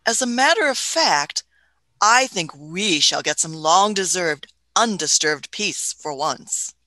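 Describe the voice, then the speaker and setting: Woman as pompous eccentric scientist, radio drama lead. Bright, forceful voice, old-fashioned and bossy manner. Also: lowish voice, expressive, slow, no echo, heavily acted. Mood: indulgent, lofty. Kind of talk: radio play acting.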